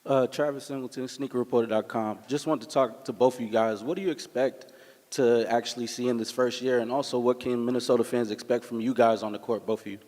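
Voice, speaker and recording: A man's voice: mid-range voice, steady tone, fast, darkish tone. A man, a sports reporter, asking a question from the floor at a press conference. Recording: reverberant hall, audience microphone.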